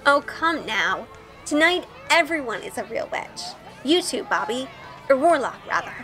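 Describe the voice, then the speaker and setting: Sweet young good-girl witch, played by a woman, highish voice, earnest storytelling, music underneath. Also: big pitch swings, moderate pace, bright, forceful tone, big-room sound, heavily acted. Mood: sweet, shy. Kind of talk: audio drama narration and acting.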